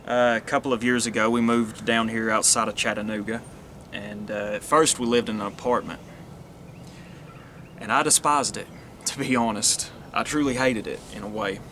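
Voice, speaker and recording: A man's voice: fairly low pitch, steady tone, moderate pace, fairly bright tone, a little hiss or hum. A man from Northeast Tennessee, talking earnestly in a rambling monologue that restarts and trails off. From Northeast Tennessee, USA. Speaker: vlogger. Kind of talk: casual monologue to camera.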